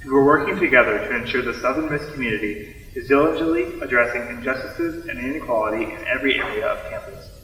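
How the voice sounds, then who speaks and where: Fast, animated young man, echoey hall. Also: medium pitch. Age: young adult. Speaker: student performer. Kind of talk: scripted readers theater recitation. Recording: echoey hall, distant mic.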